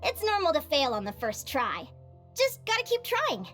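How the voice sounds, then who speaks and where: Perky, high-voiced young adventurer woman. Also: moderate pace, faint music behind, heavily acted. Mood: encouraging. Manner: perky, encouraging, expressive. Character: cheerful, encouraging young woman adventurer training animal companions. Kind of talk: animated video game voice acting.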